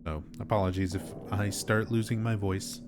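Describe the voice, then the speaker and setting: A man's voice: on the low side, moderate pace, over music. A man streaming a game, explaining things casually while nursing a sore throat. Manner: explanatory, chatty, gets animated when amused.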